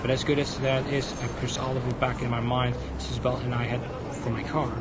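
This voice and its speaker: A man narrating a story aloud haltingly, often stumbling over words and repeating phrases. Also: level tone, loud music behind the voice. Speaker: livestreamer reading a visual novel aloud. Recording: very noisy, rumbling background noise.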